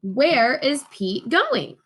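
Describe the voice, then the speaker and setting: Slow, high-voiced woman reading to children. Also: slightly rough. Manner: expressive, addressing young listeners with questions. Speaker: teacher reading children's storybook aloud. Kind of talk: children's storybook read-aloud with sing-along.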